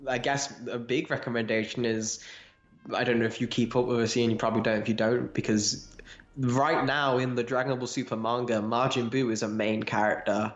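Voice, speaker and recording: A man's voice: medium pitch, steady tone, brisk, dull audio. A man co-hosting a podcast, chatting casually and enthusiastically about fandom in a rambling, informal way. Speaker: podcast co-host. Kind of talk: casual fan discussion. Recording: roomy, echoey home recording.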